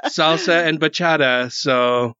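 A man hosting a podcast interview, joking and teasing his guest with quick asides. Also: fairly high pitch, bright, forceful tone, clear, resonant tone, no room sound. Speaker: podcast host. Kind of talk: casual interview chat. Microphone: studio mic. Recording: slightly dull, dry studio sound.

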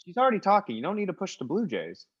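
A man acts out a timid henchman with a fairly high, fast-moving voice. He is nervous and placating. It is improvised radio comedy, a little muffled and lo-fi. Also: wildly swooping pitch, slightly rough, exaggerated voice. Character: timid, apologetic male henchman reasoning with boss. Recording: dull lo-fi audio.

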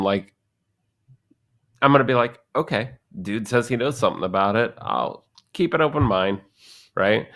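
A man's voice: fairly low pitch, a bit bright. A man in his thirties co-hosting a casual podcast chat, rambling and joking, often acting out the people he quotes. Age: 30s. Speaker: podcast co-host.

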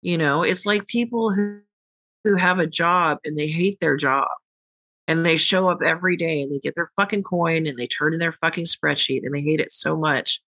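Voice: mid-range voice, moderate pace, bright, forceful tone, no echo. A podcast host and tarot reader talking casually and frankly, swearing now and then and rambling a little.

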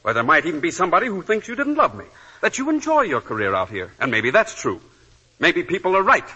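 An actor playing a bitter, jealous escaped convict in 1940s radio drama, with a sardonic, taunting manner and a raspy voice. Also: male voice, fairly high pitch, wildly swooping pitch, quick pace, pushed and bright, exaggerated voice. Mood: menacing. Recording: dull, lo-fi 1940s radio recording.

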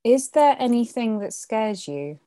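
A woman hosts a podcast and puts short, polite questions to her guest. She sounds friendly and thanks the guest warmly. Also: a little high, sing-song, unhurried. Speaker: podcast host. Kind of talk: podcast interview.

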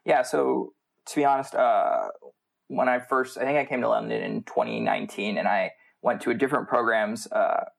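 A man's voice: mid-range voice, fairly even intonation, moderate pace, creaky. American man in a casual interview. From United States. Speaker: MBA student, podcast guest.

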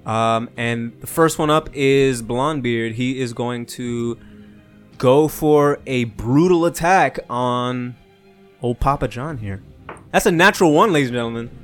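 A man's voice: mid-range voice, measured pace, clean tone, faint music behind. Playful man running a tabletop game. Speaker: game master. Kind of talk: casual tabletop game narration.

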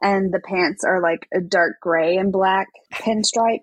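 Casting: female podcast co-host, slightly breathy rasp, casual chat, close dry sound. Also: medium pitch, fairly even intonation, measured pace, no room sound.

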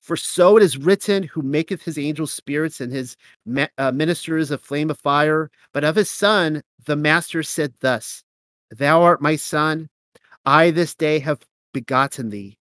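A man's voice: fairly high pitch, measured pace, fairly bright tone, no echo. Slightly nasal man with a cold. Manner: reads verses steadily, then comments loosely with fillers. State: has a cold, sounds nasal. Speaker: host of a scripture reading show. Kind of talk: reading scripture aloud with casual commentary.

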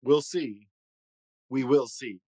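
A man's voice: mid-range voice, slow, dark tone, dry recording, studio quality. A man voicing a defiant old grandfather in a storybook, scolding and proclaiming. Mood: guarded.

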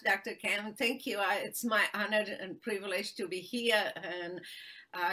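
A woman with a Romanian accent tells her story as a guest, speaking slowly and earnestly. She often pauses on fillers as she searches for words. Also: medium pitch, pushed and bright. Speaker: guest, human rights attorney. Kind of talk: interview storytelling.

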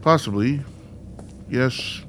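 An actor in a radio play, playing an anxious, agitated young male psychiatric patient with a halting, clipped delivery. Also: mid-range voice, fairly bright tone, slight background noise. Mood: hesitant.

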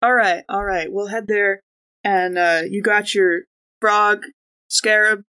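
A woman's voice: mid-range voice, steady tone, takes it slowly, pushed and bright, clear, resonant tone. A woman playing a tabletop role-playing game, chatting informally and thinking aloud as she improvises. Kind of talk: casual improvised tabletop role-play chat.

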